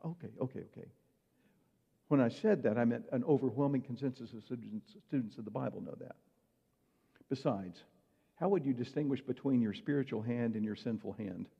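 A man's voice: mid-range voice, brisk, creaky, slightly rough. A man preaching a sermon in a conversational way, asking his listeners rhetorical questions. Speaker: pastor. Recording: muffled hall reverb.

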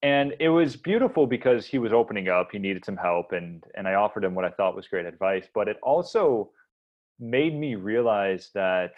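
A man with a deep voice hosting a podcast, speaking earnestly and haltingly, often restarting his sentences. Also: muffled recording. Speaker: podcast host. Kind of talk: reflective podcast talk.

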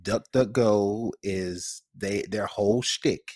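A man's voice: lowish voice, slow, a little mellow, dry recording, clean studio sound. A man co-hosting a podcast, giving casual, opinionated commentary bluntly and emphatically, repeating phrases for effect. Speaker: podcast co-host.

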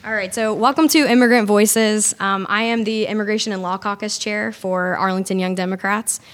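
A woman's voice: mid-range voice, fairly even intonation, a little fast, faint music behind. A young woman introducing speakers at a live event, upbeat and with many fillers. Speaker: event host.

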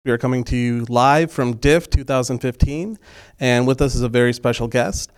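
A man's voice: medium pitch, moderate pace, clean tone. A man hosting a casual podcast, introducing a guest and asking easygoing questions with a joking tone. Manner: easygoing, joking, with frequent fillers. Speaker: podcast host.

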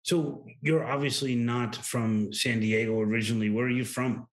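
A man's voice: medium pitch, fairly even intonation, moderate pace, rough recording. A man hosting an interview in a casual, conversational style. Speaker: interview host.

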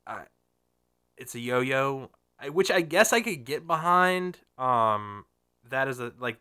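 Bright, crisp man with a stuffy cold. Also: mid-range voice. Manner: rambling, profane, frequent fillers and restarts. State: has a cold, slightly nasal and sniffly. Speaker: podcast host. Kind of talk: casual gaming podcast chat.

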